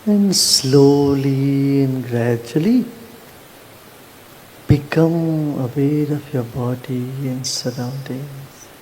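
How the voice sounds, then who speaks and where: A man leads a guided meditation and talks to his audience in an easygoing way. His voice is mellow and dark, and he speaks very slowly. A faint hiss sits under the recording. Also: medium pitch, low-quality recording. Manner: slow, soft-spoken, chatty asides with "huh?". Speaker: meditation teacher. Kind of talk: guided meditation and public address.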